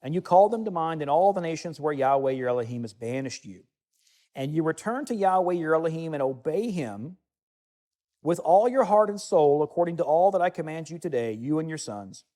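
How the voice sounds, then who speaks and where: A man teaches to a gathered group in a room, his voice fairly high for a man yet soft and mellow in tone. He reads passages aloud and talks to the room in an easygoing, conversational way, with asides and restarts along the way. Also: animated, a little fast. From United States. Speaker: Bible teacher. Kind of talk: teaching sermon with scripture reading. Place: meeting room with listeners.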